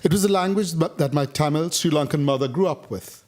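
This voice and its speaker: A man from India reading his own literary essay aloud on stage, in a measured, rhythmic way with deliberate pauses. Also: a little high, a little mellow. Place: stage of a public talk venue.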